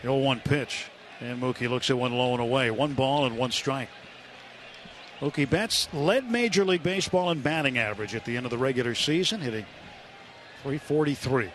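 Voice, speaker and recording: A man's voice: mid-range voice, fairly bright tone. A man doing live play-by-play baseball commentary for radio. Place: stadium broadcast booth. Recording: clear stadium noise behind. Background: stadium ambience.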